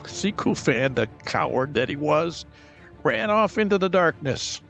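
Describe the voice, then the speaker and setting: A man delivers dramatic scripted narration for an audio adventure. He speaks slowly in a slightly high male register, with clear music running behind him. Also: sing-song, fairly bright tone. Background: cinematic music.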